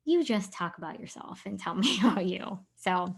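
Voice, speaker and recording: A woman's voice: medium pitch, lively intonation, moderate pace, vocal fry, crowd noise. A woman in her late thirties co-hosting a podcast, speaking candidly and informally as she reflects on herself. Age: late 30s. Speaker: podcast co-host being interviewed. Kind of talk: casual podcast chat.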